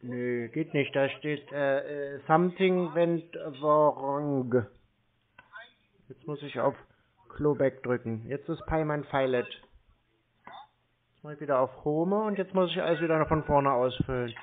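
A bumbling, naive man played by a male prankster on a tinny phone line. His voice sits a bit high, and he mutters to himself step by step, mispronouncing English words as he goes. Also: slow pace. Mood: grumbling. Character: bumbling, naive man struggling with online payment. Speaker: prank caller playing a scam victim. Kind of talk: improvised prank phone call.

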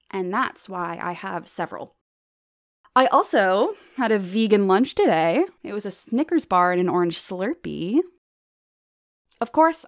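A woman acting as a self-deprecating female stand-up comic in an audio drama. Her voice sits at a middling pitch, and she delivers her lines wryly, shaped toward the punchline. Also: lightly acted. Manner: wry, punchline-driven delivery. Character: self-deprecating plus-size female stand-up comedienne named Eleanor.

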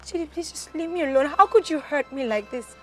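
A woman's voice: high voice, expressive, a little fast, a bit bright, faint music behind. A woman playing a firm, wronged young wife.

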